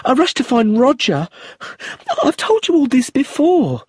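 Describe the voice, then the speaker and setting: A man voicing a frightened young widow in an audiobook, talking high, shaky and pleading. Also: lively intonation, a little fast, a little mellow, breathy edge, dry recording, studio quality. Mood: panicked.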